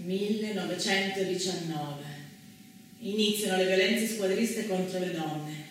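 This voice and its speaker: A woman's voice: medium pitch, a little slow, close to an everyday voice. A woman playing a declamatory announcer of historical events, narrating emphatically in a theatre piece. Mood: solemn. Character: female announcer of historical dates and laws. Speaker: stage narrator. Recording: echoey stage, distant mic, background noise.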